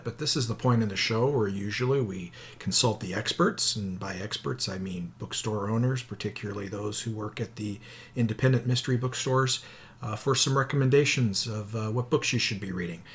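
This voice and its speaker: A man hosting an interview podcast about books, relaxed and hesitant, with frequent filler sounds. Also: lowish voice, a little fast. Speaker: podcast host.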